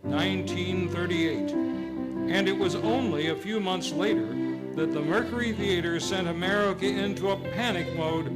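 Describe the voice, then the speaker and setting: Prominent music plays behind a man acting a high-voiced radio host of the old school. He is fussy and pompous, announcing in a theatrical manner. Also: normal speed, lightly acted, rough recording. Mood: grand, showy.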